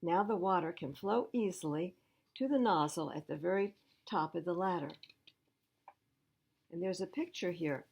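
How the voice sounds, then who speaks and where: A woman's voice: lowish voice, moderate pace, gravelly, long pauses, a little mellow, slightly rough, highs cut off. Woman reading aloud to children. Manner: explains things simply to young listeners. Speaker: children's library storyteller. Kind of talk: read-aloud picture book storytelling with asides to children.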